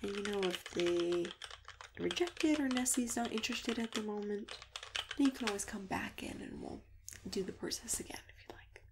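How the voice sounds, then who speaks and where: A woman speaking softly and breathily, often near a whisper, in a gentle, reassuring ASMR roleplay as a friendly matchmaker. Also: medium pitch, noisy background. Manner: gentle, reassuring, unhurried customer-service patter.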